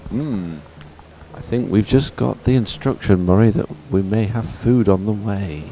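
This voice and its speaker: A man's voice: fairly low pitch, unhurried, warm tone, a little hiss or hum, poor audio. A man anchoring live sports commentary, conversationally announcing results and what is coming up.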